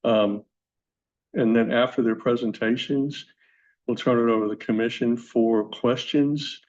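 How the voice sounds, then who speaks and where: A male hearing officer explaining procedure to a commission in a measured, explanatory way. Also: mid-range voice, steady tone, a little mellow. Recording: dull lo-fi hearing room audio.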